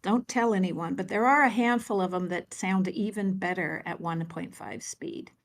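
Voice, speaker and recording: A woman talking as a podcast guest from an office, animated and quick to laugh. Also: mid-range voice. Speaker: podcast guest, event organizer. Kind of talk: casual podcast conversation.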